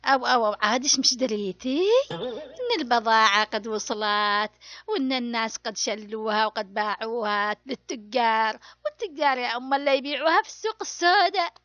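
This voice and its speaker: A woman's voice: fairly high pitch, lively intonation, brisk, bright, forceful tone, no echo, dull audio. A woman playing an indignant, gossiping Yemeni neighbour in a comic cartoon, speaking with an Adeni accent. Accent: Adeni Yemeni Arabic. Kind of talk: comic cartoon skit dialogue.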